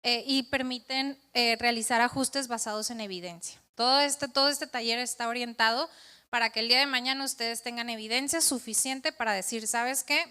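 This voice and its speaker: A woman's voice: fairly high pitch, pushed and bright, clear, resonant tone. A woman presenting a training workshop who checks in with her audience as she explains.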